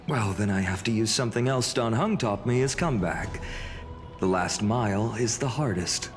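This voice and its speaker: A man acts out a young warrior leader from a fantasy game. He sounds composed and gracious, with a teasing, heartfelt streak. Music plays softly behind him. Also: on the low side, moderate pace, big-room sound, lightly acted. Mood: easygoing, teasing. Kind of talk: video game voice acting.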